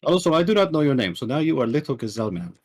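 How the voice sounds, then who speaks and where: A man's voice: medium pitch, very animated, very fast. A man voicing a boastful, simple-minded woman in a tabletop game, gloating in blunt, broken phrases. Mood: blunt, teasing. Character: boastful, simple-minded woman who wins at carnival games.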